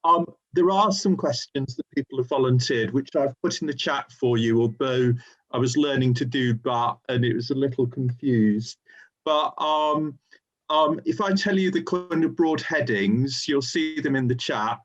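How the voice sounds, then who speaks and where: A man's voice: medium pitch, moderate pace. A man hosting an informal webinar and relaying questions from the audience, heard over a dull, lo-fi online call.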